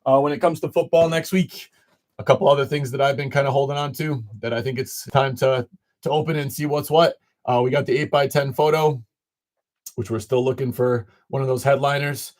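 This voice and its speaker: A man's voice: medium pitch, steady tone, brisk, darkish tone, no room sound. A man from Illinois chatting casually as host of a live sports card break, greeting viewers by name, his voice a little off. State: voice slightly off. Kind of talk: casual livestream chat.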